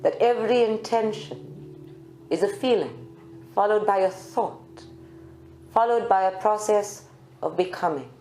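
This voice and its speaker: Female meditation guide, very slow and deliberate, recorded live in a roomy space with faint music. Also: medium pitch, warm tone. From South Africa. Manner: slow, deliberate phrases with long pauses. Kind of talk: guided meditation, spiritual channeling. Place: group meditation room at a centre.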